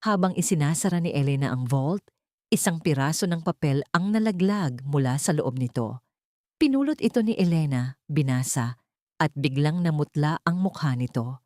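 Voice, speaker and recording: A woman's voice: low voice, lively intonation, normal speed, dark tone, no echo, studio quality. A woman narrating a scripted dramatic story as an audio storyteller.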